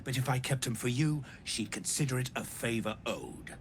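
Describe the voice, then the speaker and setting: An actor playing a gruff, wry game trader who tells grim tales in a blunt, folksy way. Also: male voice, medium pitch, moderate pace, close to an everyday voice. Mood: businesslike, impatient. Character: gruff unhurried male trader, sardonic messenger telling grim soul stories. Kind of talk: video game character dialogue. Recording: constant noisy ambience behind voice.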